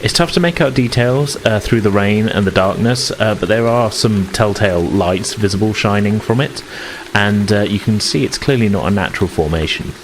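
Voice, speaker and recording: A man's voice: on the low side, normal speed, noisy background. A man narrating a tabletop roleplaying game as game master, conversational and a little hesitant as he improvises. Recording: loud music and rain ambience behind. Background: music, rain sound effects.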